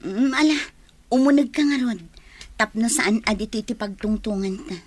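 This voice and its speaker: A woman's voice: fairly high pitch, normal speed, a little hiss or hum, close to an everyday voice. A woman acting a village woman in a radio drama, speaking with an Ilocano accent. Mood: cautious, courted. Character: village woman named Marina, wary of a suitor's drinking.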